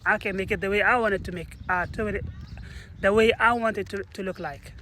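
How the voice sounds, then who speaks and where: A man's voice: sits high, fairly even intonation, brisk, bright, forceful tone, a little hiss or hum. A man giving a casual motivational talk outdoors in a park, rambling and often restarting his sentences. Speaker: motivational speaker.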